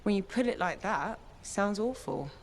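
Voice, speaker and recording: A kind, studious young woman from a video game, as a woman voices her. She speaks in a measured, explanatory way, careful and gentle, with an ordinary mid-pitched voice. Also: lively intonation, a little hiss or hum, close to an everyday voice. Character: thoughtful, kind young female scholar.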